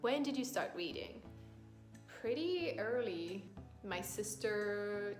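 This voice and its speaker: A middle-aged woman from France with a French accent, chatting thoughtfully through a book vlog with many pauses and restarts. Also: medium pitch, lively intonation. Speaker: book vlogger. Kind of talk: casual tag-video chat. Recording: echoey room with faint music.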